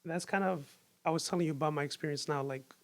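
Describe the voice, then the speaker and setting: A man's voice: on the high side, fast talker. A young man with a New York accent, a podcast guest talking openly in a casual, slangy way. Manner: open, earnest, slangy with many likes.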